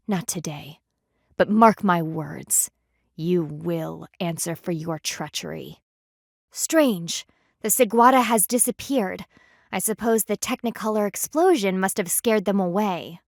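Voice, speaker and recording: A woman's voice: medium pitch, a bit bright, dry recording, clean studio sound. A woman voicing a stern, proud panda warrior in a video game, speaking formally and with haughty, precise diction. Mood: cold, bitter, then formal, serious. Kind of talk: scripted video game voice acting.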